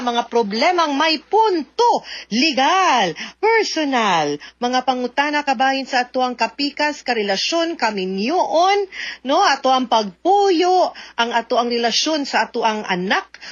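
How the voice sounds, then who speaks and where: A woman's voice: a little high, a bit bright, dull audio. A woman with a Cebuano Filipino accent hosting a radio advice show, chatty and emphatic, mixing in English. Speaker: radio host, lawyer giving advice.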